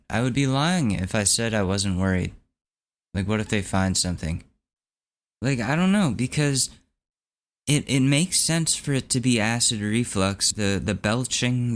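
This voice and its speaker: A young man hosting his own podcast, talking in a rambling, candid and wry way, his voice still recovering from hoarseness. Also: fairly low pitch. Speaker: podcast host. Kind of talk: casual solo podcast monologue.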